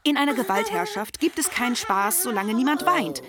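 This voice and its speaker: A woman's voice: fairly high pitch, expressive, brisk, over a noisy crowd, lightly acted. A woman playing a defiant, sardonic young feminist activist delivering a polemical monologue in a radio play. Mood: scornful, impassioned.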